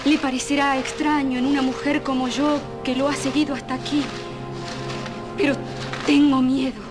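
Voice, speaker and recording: An actress playing a frightened, yearning heroine in a romantic radio drama, pleading and melodramatic. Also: female voice, high-pitched, flat delivery, moderate pace, lightly acted. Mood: fearful, pleading. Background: prominent background music.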